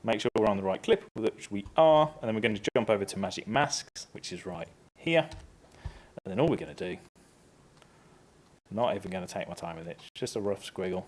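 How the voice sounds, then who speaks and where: A man presenting a casual, upbeat software tutorial on a conference stage, stepping through each click. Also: fairly low pitch, expressive, fairly quick. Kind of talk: live software tutorial talk.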